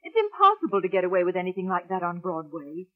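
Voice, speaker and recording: An actress playing an indulgent, dismissive wife and busy producer in a 1940s radio drama, with an expressive, airy manner. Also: female voice, lowish voice, quick pace. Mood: weary, dismissive. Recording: muffled, band-limited old radio recording.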